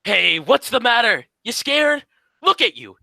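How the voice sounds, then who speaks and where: A man's voice: extremely high pitch, sing-song, unhurried, vocal fry, bright, forceful tone, no echo, exaggerated voice. A man playing a bossy, cajoling, self-serving straight man in a vintage-style comedy radio double act. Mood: goading.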